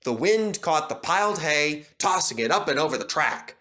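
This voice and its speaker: Animated, bright storybook narrator, male. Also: fairly high pitch, moderate pace.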